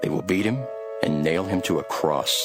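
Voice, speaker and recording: A man playing a calm, authoritative religious teacher in a dramatized scripture, solemn and measured. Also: sits low. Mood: solemn, grave. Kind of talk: dramatized scripture reading with storytelling. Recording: background music under the voice.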